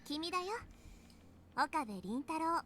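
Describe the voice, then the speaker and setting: A woman's voice: high voice, expressive, normal speed, sharp tone, a little hiss or hum. A woman playing a breezy young time traveler from the future in a visual novel game. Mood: explaining. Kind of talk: Japanese visual novel voice acting.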